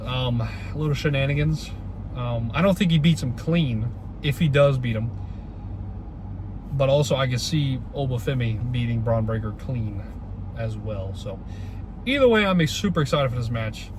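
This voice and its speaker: Congested young man, excitable wrestling vlogger. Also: mid-range voice, normal speed, background noise. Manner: excitable, repeats rhetorical questions, many fillers. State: congested from a cold. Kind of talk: casual solo opinion vlog.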